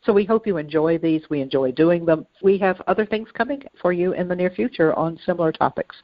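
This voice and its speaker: A woman co-hosting a conversational podcast, explaining things in a reflective, measured manner. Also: fairly low pitch, dry recording, muffled recording. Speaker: podcast co-host.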